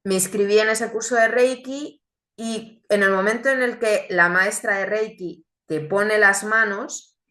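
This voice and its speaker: A woman, born in Chile, giving an earnest, reflective religious testimony with deliberate pauses. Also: medium pitch, steady tone, sharp tone, roomy sound. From Chile, raised in Spain.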